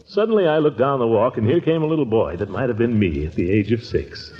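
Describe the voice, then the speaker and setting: An actor playing a pompous, easily flustered middle-aged uncle who also narrates a 1940s radio comedy in a theatrical, blustering way. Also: male voice, mid-range voice, lively intonation, quick pace, natural voice. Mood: nostalgic. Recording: muffled vintage 1940s radio broadcast.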